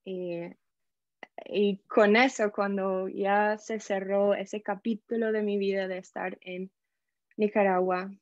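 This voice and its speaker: Woman, American accent, animated storyteller, lo-fi audio, dry sound. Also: medium pitch, slow pace, no room sound. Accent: American English. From United States. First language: English. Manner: animated, acts out quoted voices, mixes English words into Spanish. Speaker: podcast guest. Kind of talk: casual interview storytelling.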